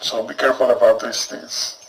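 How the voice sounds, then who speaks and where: A man speaks casually as a reviewer, repeating cautions to his viewers. His voice is middle in pitch and sits away from the microphone. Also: little pitch movement, brisk, recorded from a distance, slight background noise, low-quality recording. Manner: cautionary, repetitive. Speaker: software reviewer.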